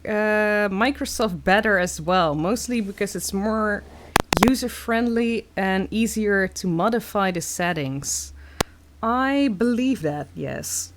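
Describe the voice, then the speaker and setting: A young Dutch woman with a Dutch accent, chatting casually and haltingly while reading comments on a live stream. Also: mid-range voice, background noise. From Netherlands. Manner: slow, halting, many fillers.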